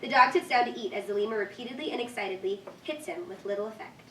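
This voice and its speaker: A woman's voice: mid-range voice, fast talker, pushed and bright. A woman narrating a script's stage directions at a staged reading. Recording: echoey room, distant mic, faint noise.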